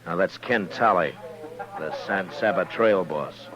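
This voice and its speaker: An actor playing a stern, weary frontier marshal in a 1950s radio western, measured and understated. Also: male voice, muffled recording, a crowd in the background. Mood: easygoing, wry. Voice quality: deep, grave. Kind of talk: 1950s radio western acting with first-person narration. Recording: old radio drama recording, music behind.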